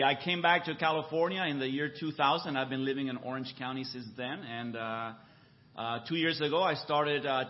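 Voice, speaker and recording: An older man from the United States gives a conversational church talk to a congregation, with many fillers and tag questions. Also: mid-range voice. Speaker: church mission director. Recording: muffled lo-fi recording.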